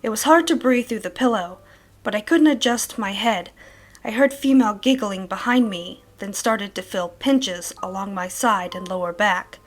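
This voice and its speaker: A woman playing a troubled woman who gives a measured first-person diary account of night terrors in a horror story. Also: mid-range voice, lightly acted. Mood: haunted recounting. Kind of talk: scripted horror story narration.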